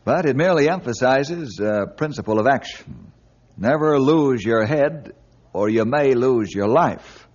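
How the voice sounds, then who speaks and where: A man's voice: mid-range voice, expressive, unhurried, darkish tone. An actor playing a hearty male ranger leader who also hosts a 1950s radio adventure show. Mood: friendly, upbeat. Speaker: lead character and host. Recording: dull lo-fi 1950s radio recording.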